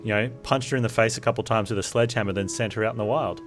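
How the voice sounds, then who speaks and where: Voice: medium pitch, fairly even intonation, racing. Sarcastic game streamer with background music. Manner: sarcastic, irreverent. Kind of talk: casual joking commentary over gameplay.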